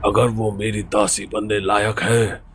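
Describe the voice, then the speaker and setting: A man's voice: on the low side, little pitch movement, slow pace, slight background noise. A man voicing a greedy, commanding evil occultist in a horror cartoon, theatrical and boastful. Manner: theatrical, boastful, gloating laughs.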